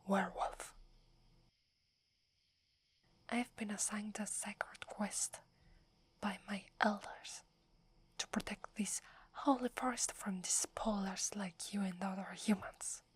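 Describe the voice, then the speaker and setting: A woman's voice: medium pitch, a little hiss or hum, close to an everyday voice. Woman whispering as a scornful werewolf guardian. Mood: stern. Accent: Italian. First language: Italian. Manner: scornful, accusing. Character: proud wary female werewolf forest guardian. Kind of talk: ASMR roleplay.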